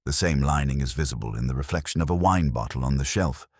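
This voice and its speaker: A man's voice: extremely low pitch, fast, husky, no echo, studio quality. A man voicing a stern, pompous master detective in an audiobook, formal, weighty and accusing.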